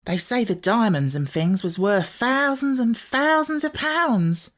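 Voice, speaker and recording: A woman's voice: a little high, animated, a little slow, voice pushed up. A woman voicing a gossipy, darkly self-important working-class housemaid in a storybook. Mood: brisk gossip. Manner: dramatic, rustic turns of phrase.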